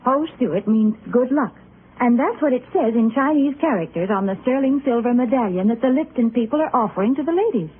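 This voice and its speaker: Lively, high-voiced woman radio announcer. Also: a little fast, slightly rough. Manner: chatty, persuasive. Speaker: sponsor's announcer. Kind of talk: 1940s radio commercial pitch. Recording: noisy, phone-like old radio recording.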